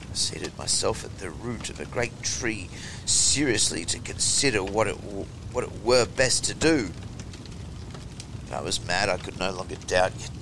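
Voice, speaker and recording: A man giving a theatrical, deliberate and emphatic reading of a horror story as its narrator. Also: on the low side, gravelly, noisy background.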